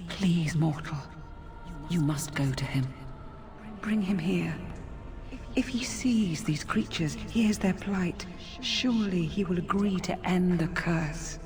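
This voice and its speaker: A woman's voice: fairly low pitch, takes it slowly, over music. A woman acting as a solemn, regal forest spirit who guards beasts in a fantasy game, speaking in a stately, firm manner. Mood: pleading. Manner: stately, pleading yet firm.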